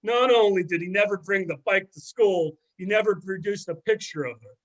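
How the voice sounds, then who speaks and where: High-pitched sardonic man playing a former student. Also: moderate pace, fairly bright tone, low-quality recording. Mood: mocking. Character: sardonic middle-aged former student dishing on an old teacher. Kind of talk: amateur readers theater, conversational script reading.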